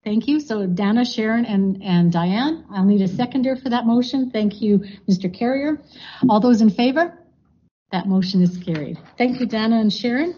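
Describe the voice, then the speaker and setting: A woman's voice: a little high, expressive, moderate pace, mellow, husky. A woman chairing a formal board meeting, moving through motions with fillers and frequent thanks. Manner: procedural, many fillers and thank-yous. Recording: dull lo-fi meeting recording.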